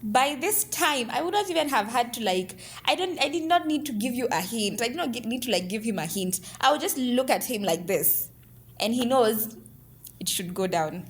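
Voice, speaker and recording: A young woman telling a personal story in a lively, dramatic way and dropping in Swahili words. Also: fairly high pitch, normal speed, a little hiss or hum. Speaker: storyteller guest clip.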